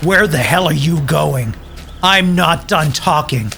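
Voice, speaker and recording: An actor playing a bitter, self-pitying wasteland survivor in an audio drama, sarcastic, profane and defensive. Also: male voice, on the high side, animated, slow pace, creaky, pushed and bright, slight background noise, exaggerated voice. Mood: furious.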